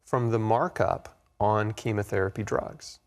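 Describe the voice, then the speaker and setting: A man's voice: on the low side, unhurried. A man speaking as an interview guest, explaining things in an earnest, plain-spoken way. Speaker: interview guest, health author. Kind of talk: explanatory talk show interview.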